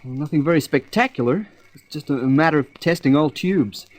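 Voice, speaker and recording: A man's voice: on the high side, fairly quick, natural voice. An actor playing an impatient, hot-headed spaceman in an old-fashioned radio drama, with a brusque, slangy way of talking. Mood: practical, matter-of-fact.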